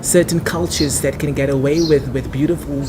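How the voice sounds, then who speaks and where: Young Namibian man, clear background noise. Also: fairly even intonation, moderate pace. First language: Afrikaans. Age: early 20s. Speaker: interview guest, actor and theatre director. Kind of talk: conversational interview answers. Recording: noisy ambient background, traffic-like rumble.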